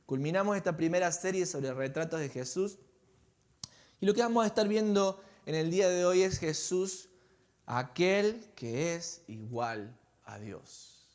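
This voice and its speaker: A man's voice: on the high side, expressive, a little slow, darkish tone. A man with an Argentine accent, a pastor preaching a sermon to his congregation and asking them questions as he goes. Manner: conversational, engages congregation with questions. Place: church sanctuary.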